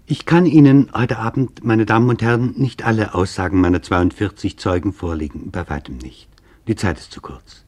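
Husky, solemn male documentary narrator. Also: fairly low pitch, a little mellow. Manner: solemn, deliberate, formal. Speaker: narrator presenting a radio documentary. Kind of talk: scripted literary documentary narration.

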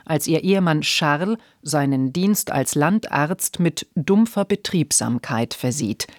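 A woman's voice: deep voice, a little mellow, dry recording. Dry, close studio radio announcer, a woman. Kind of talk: scripted broadcast introduction.

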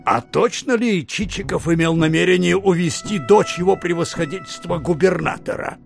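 A man's voice: high voice, moderate pace, creaky, faint music behind. A man playing an anxious, credulous provincial official, timidly inquisitive, in an audio drama. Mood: cautious questioning. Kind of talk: theatrical audiobook drama acting.

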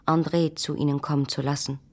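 Young female lover in a literary radio play: very low, flat melody, formal, quietly pleading letters. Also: fairly quick. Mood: restrained pleading. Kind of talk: German literary radio drama.

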